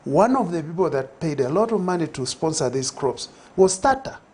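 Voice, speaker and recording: Older man, zambian accent, husky, TV studio interview, lapel mic. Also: fairly high pitch, big pitch swings, normal speed, darkish tone. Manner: reflective, many fillers and restarts. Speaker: guest. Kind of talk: conversational TV interview. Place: TV studio set.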